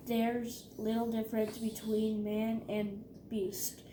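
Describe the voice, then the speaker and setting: A female reader going haltingly through scripture aloud, stumbling over words. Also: mid-range voice, completely flat delivery. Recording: echoey room with background noise.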